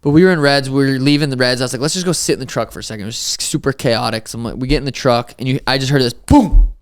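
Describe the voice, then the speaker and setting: A man's voice: mid-range voice, racing. A young man telling stories in a loose, swearing podcast chat, laughing often as he co-hosts. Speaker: podcast co-host, fighter.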